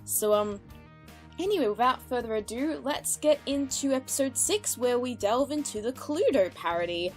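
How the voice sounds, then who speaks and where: A woman's voice: fairly high pitch, expressive, moderate pace. Chatty woman commentating over music. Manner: chatty, amused. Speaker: reaction commentator, fan artist and voice actor. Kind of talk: casual reaction commentary. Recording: music behind the voice. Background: cartoon soundtrack with music.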